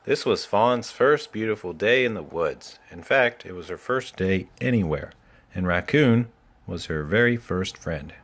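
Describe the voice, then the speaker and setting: A man reading a children's story aloud in an animated storytelling style. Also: fairly low pitch, measured pace. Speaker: children's book reader.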